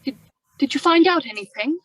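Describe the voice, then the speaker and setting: A woman's voice: high voice, lively intonation, fairly quick, fairly bright tone. A woman playing a young widow in a radio drama, anxious and hesitant, defensive beneath her composure. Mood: nervous, subdued.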